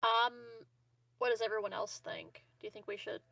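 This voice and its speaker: A woman plays in a tabletop roleplaying game and chats casually with the group. Her mid-pitched voice has a marked creak, and the sound is dry and close. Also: fairly even intonation, moderate pace, fairly bright tone, no echo.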